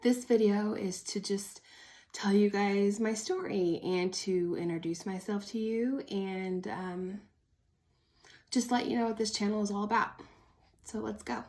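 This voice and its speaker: A woman's voice: mid-range voice, steady tone, measured pace, a little mellow. A woman in her early thirties from Oklahoma with an American accent, telling a personal story in a casual, candid, chatty way as a vlogger. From Oklahoma, United States. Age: early 30s.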